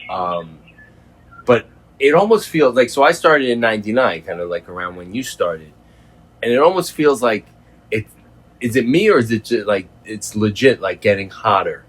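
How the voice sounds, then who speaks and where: A middle-aged man in a casual phone conversation, speaking informally and haltingly, with some swearing. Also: lowish voice, fairly bright tone, low-quality recording. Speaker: host, former prisoner.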